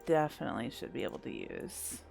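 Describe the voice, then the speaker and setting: Very low, animated woman gamer. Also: fairly quick, creaky, faint music behind. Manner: thinking aloud, mild exclamations. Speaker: video game streamer. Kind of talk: casual playthrough commentary.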